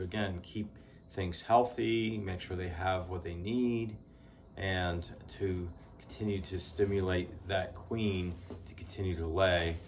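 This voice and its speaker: A man in his forties, a beekeeper giving casual how-to advice in an unhurried, practical way with many "uh" pauses, filmed indoors in a small shed with the camera at desk distance. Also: sits low, slight background noise. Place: indoors, small shed stacked with hive boxes.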